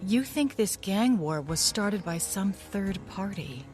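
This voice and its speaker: A woman's voice: mid-range voice, lively intonation, slow pace, darkish tone, faint music behind. An actress playing a self-assured, skeptical woman in an audio drama, speaking in a direct, pointed way.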